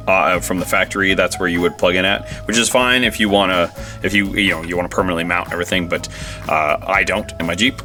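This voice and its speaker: A man with a low voice presents a casual how-to, talking through the job as he works. His delivery is informal and partly muttered to himself, with clear music underneath. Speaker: DIY video host. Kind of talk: casual hands-on how-to narration.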